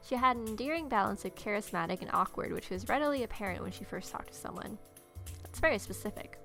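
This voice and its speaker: A woman's voice: mid-range voice, creaky, fairly bright tone. A young woman reading visual novel narration aloud, dropping in casual asides as she plays. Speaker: game player reading aloud. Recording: music playing behind.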